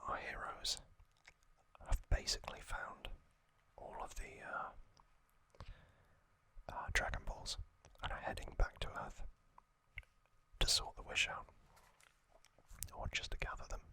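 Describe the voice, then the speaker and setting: A man whispering an ASMR recap as host, in a hushed, deliberate way with short phrases and gaps. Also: rough recording.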